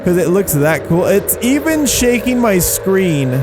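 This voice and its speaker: Excitable higher-voiced man doing game commentary. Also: a little slow, poor audio. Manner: excitable, exclaiming, slangy. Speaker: video game YouTuber and host. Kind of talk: casual gameplay commentary. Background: background music.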